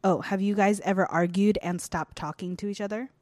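A young woman co-hosting a casual chat, relaxed and loose with fillers. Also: medium pitch, a little fast, dry recording. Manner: relaxed, trails off with fillers and laughs. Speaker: podcast co-host.

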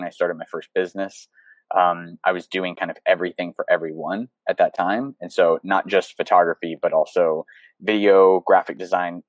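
A man's voice: low-pitched, no room sound, rough recording. A young man, a photographer and coach, talking casually as an interview guest over a video call, rambling with many fillers and restarts. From United States.

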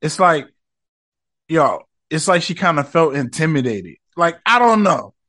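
A man's voice: a little high, big pitch swings, measured pace, a bit bright. Slangy man hosting a casual podcast. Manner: loose, slangy and profane, rambling asides. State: voice rough after smoking. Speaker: podcast host.

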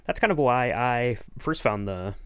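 A man's voice: mid-range voice, expressive, moderate pace, smooth, no echo. A man co-hosting a podcast, interviewing in a casual, agreeable, rambling way. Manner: rambling, agreeable, hedging with "kind of". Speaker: podcast co-host acting as interviewer.

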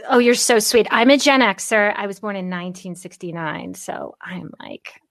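A woman's voice: mid-range voice, normal speed, creaky, no echo. A woman in her fifties hosting an interview podcast, chatty and enthusiastic, laughing often. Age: 50s. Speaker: podcast host. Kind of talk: casual interview chat.

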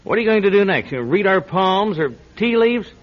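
An actor playing an exasperated, skeptical young fiancé in a classic radio drama, agitated and prone to stammering. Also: male voice, sits high, animated, brisk. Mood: fed up. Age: young adult. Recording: lo-fi old radio drama recording.

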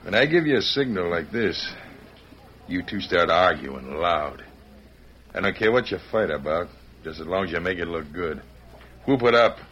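A low, domineering American villain of the Old West, hands out terse commands. The radio drama sounds dull, with faint noise. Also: male voice, animated, moderate pace, long pauses, fairly bright tone. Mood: scheming, instructing. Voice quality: deep. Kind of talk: old-time radio western acting.

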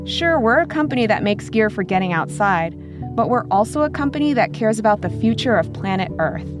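A woman's voice: medium pitch, expressive, brisk. A woman reading scripted promotional narration as the host of a story podcast. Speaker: podcast host giving the outro. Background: music bed.

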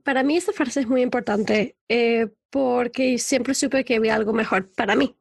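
Young woman guest, husky and higher-pitched, affectionate and open. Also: moderate pace, no room sound, studio quality. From Venezuela. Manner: affectionate, open, chatty with fillers. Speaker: guest, life coach. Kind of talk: candid interview talk.

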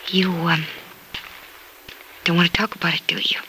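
A woman playing an eager, hopeful, devoted young hospital patient in a classic radio soap opera, with wistful, halting delivery. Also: fairly low pitch, little pitch movement, pushed and bright, low-quality recording. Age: young adult. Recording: old radio recording with faint noise.

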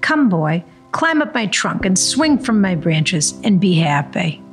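Lady doing a kind old mother tree from a picture book, gentle n wistful, slow, slightly creaky. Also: fairly low pitch, very animated, soft background music. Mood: tender, eager. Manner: tender, giving, wistful. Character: loving, self-sacrificing female tree from a storybook.

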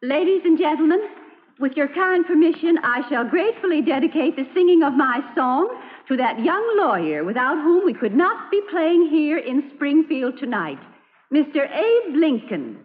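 A woman's voice: sits high, big pitch swings, moderate pace. A woman playing a gracious frontier stage singer, making a formal theatrical announcement in an old-time radio drama. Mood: gracious, ceremonious. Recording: tinny old radio, hall reverb, faint music.

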